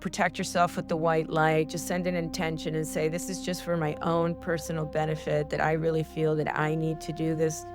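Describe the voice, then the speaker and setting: A woman's voice: deep voice, level tone, fairly quick, hardly any pauses, music in the background. A woman hosting a spiritual podcast, talking in an earnest, heartfelt coaching tone. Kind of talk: casual spiritual advice talk.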